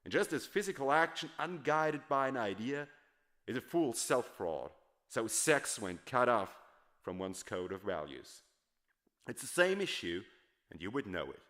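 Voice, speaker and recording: A man performs a literary monologue as a dramatic audiobook reading. His delivery is declamatory and emphatic, with a very animated pitch that rises and falls widely around a middle register. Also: unhurried. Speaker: literary reader performing a novel character's monologue.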